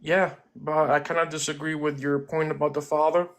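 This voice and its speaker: A man's voice: a little high, level tone, brisk, a bit bright. A man in his twenties calling in to a debate, answering in a plain, earnest way. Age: 20s. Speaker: caller. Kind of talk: casual debate.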